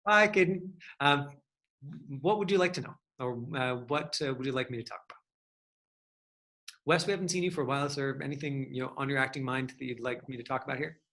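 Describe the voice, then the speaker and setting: Man, acting coach, medium pitch, conversational, rambling, encouraging, informal lesson. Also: fast talker, fairly bright tone. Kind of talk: casual online acting lesson with question and answer.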